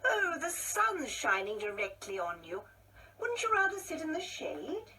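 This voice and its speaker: A woman playing a genteel older married woman in a radio play, with a theatrical, halting, wistful delivery. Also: high-pitched, wildly swooping pitch, bright, forceful tone, heavily acted. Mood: chatty, wistful. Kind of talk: radio play monologue acting. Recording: distant mic with faint background noise.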